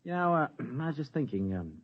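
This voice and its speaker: An eager, sheepish American husband with a tinkering hobby in a vintage radio drama. His voice swoops excitedly and is slightly husky, with stammers and hesitations. The recording is dull and old. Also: mid-range voice, brisk.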